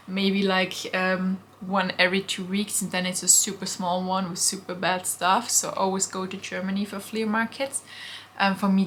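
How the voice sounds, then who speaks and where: A woman's voice: medium pitch, fairly bright tone. A young woman from Austria, chatting casually through a vlog Q&A from her bedroom, with frequent ums. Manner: chatty, frequent ums, answers viewer questions. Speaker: vintage shop owner vlogger. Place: bedroom at home. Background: faint construction noise from next door.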